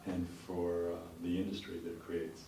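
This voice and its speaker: A male host talks in a measured, hesitant way with many "uh" pauses. His voice is very deep and flat in tone. He is heard from off-mic, with echo in the room. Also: far from the mic. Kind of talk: policy discussion. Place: TV studio. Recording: roomy studio heard from off-mic, faint noise.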